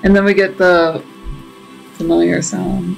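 A woman's voice: lowish voice, little pitch movement, measured pace, gravelly, low-quality recording. A woman giving casual playthrough commentary as a game streamer, chatting and thinking aloud. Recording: game music behind the voice.

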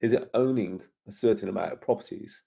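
A middle-aged man with a croaky, gravelly voice, presenting a property investment webinar in a persuasive, self-promoting way and putting questions to the audience. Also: on the low side, fairly quick, warm tone. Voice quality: gravelly, husky. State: croaky from lingering illness. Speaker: property trainer hosting a webinar. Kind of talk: webinar presentation over slides. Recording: dull lo-fi webinar audio.

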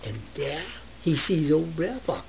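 Elderly man storytelling, very lively pitch. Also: measured pace, creaky. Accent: put-on American Southern dialect. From northern United States. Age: older, 70s. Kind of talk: animated reading of a folk tale in dialect. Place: book-lined living room. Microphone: camera a short distance away. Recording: muffled home camera recording with hiss.

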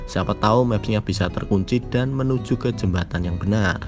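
A man giving calm, measured voice-over narration about a motorbike trip. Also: on the low side, soft background music. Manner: measured storytelling, explains the route. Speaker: vlog narrator.

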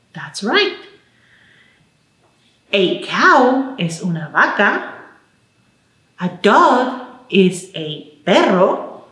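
Expressive woman leading children's storytime, roomy sound. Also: medium pitch. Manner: expressive, encouraging, speaking to small children. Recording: roomy indoor echo.

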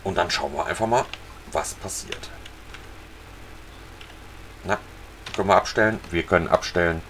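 A man casually talking viewers through a fishing video game, relaxed and chatty. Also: low-pitched, normal speed, a little hiss or hum. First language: German. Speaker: gaming channel host. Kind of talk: casual let's-play commentary.

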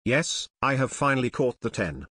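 A man's voice: medium pitch, sing-song, moderate pace, a bit bright, breathy edge, no room sound, studio quality. A man voicing a comedic meme video with a playful scripted delivery.

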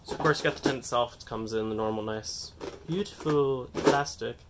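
A young man with a nasal voice from a cold, chatting excitedly and rambling as he opens trading card packs on a table. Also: medium pitch, moderate pace, heavy background noise. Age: young adult. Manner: excitable, rambling, self-mocking. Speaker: card-opening channel host. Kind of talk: casual unboxing vlog. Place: indoors at a table, hands on camera.

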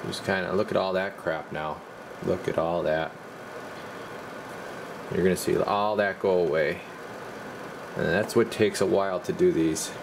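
Man, deep creaky voice, informal technician walkthrough, thinking aloud, noticeable background noise. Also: steady tone, measured pace, pauses often. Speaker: radio repair technician presenting his work. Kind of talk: casual hands-on repair demonstration.

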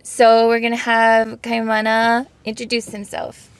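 A woman's voice: fairly high pitch, monotone, a little slow, no room sound. A woman from Hawaii hosting an interview and asking conversational questions. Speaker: host. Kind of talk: casual interview.

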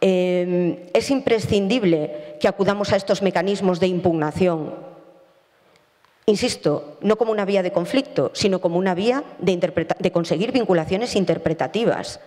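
A woman's voice: fairly low pitch, dark tone. A woman in her forties giving a formal conference talk on stage, speaking earnestly into a handheld microphone and arguing her points with frequent self-corrections. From Spain. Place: large auditorium stage. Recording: long hall reverb.

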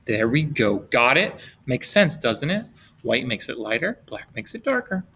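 Animated man reading aloud to young children. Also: medium pitch, a little hiss or hum. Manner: playful, expressive, with drawn-out words and simple questions. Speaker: teacher reading a picture book to children. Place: indoors at a desk, webcam with book camera.

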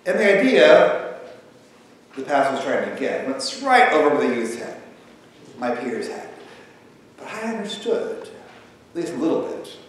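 Male pastor, 30s, slightly high voice, conversational sermon, heavy church reverb from a distant camera. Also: a little slow, pauses often. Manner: conversational, animated, gesturing at the pulpit. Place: large church sanctuary with pipe organ. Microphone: far camera across the sanctuary. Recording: echoey sanctuary from far off, faint hiss.

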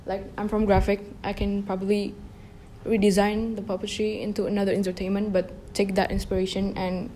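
A woman's voice: mid-range voice, level tone, a little fast, dark tone, roomy sound, slight background noise, poor audio. A young woman from Indonesia giving hesitant interview answers full of fillers, indoors by a projection screen. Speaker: interviewee, design student.

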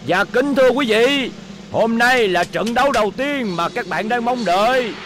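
A man voicing an excitable ring announcer in a dubbed cartoon, with a loud, drawn-out showman's delivery. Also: very high-pitched, background noise. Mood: excited. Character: excitable male robot-wrestling ring announcer.

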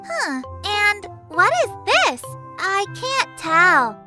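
A woman's voice: extremely high pitch, very animated, extremely slow, over music, exaggerated voice. A woman voicing a dramatic, fretful little girl in a children's cartoon, theatrical and exclaiming. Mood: curious.

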